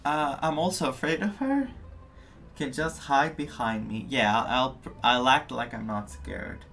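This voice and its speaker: A man's voice: mid-range voice, unhurried, gravelly, fairly bright tone, soft background music. A man streaming a game live, casually musing and reacting aloud.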